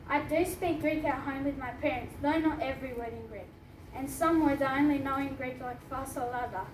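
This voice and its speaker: A woman's voice: high-pitched, brisk. A teenage girl from Australia, reading her personal essay aloud at a book launch, earnest and laughing now and then. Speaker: student reading her story. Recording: echoey hall from far off, noisy.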